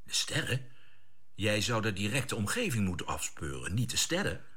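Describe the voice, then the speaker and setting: A man's voice: fairly low pitch, very animated, moderate pace, a bit bright. A man acting a composed, decisive spaceship commander in a science fiction radio drama, with an authoritative, businesslike delivery. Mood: questioning, calm.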